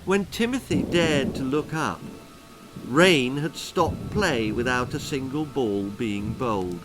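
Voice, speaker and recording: A man's voice: mid-range voice, slow pace, poor audio. A British man narrating a children's storybook in a dramatic, theatrical and drily comic manner. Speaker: author and storyteller. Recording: music behind the voice.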